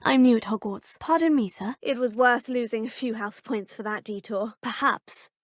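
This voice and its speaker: Actress as young female witch student; polite, musing, breathy, dry close studio sound. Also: normal speed, no echo, natural voice. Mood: polite, curious. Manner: polite, composed, often musing in low asides. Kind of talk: video game voice lines. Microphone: close, dry studio mic.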